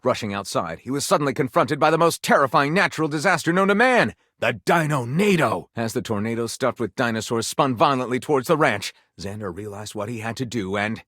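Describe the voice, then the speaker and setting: Game narrator: theatrical, salacious, melodramatic storyteller, very lively intonation, dry studio sound. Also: male voice, mid-range voice, brisk, hardly any pauses, no echo. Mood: melodramatic, gleeful. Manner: theatrical, suggestive, grandiose. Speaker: game character voice actor. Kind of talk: dramatic scripted narration.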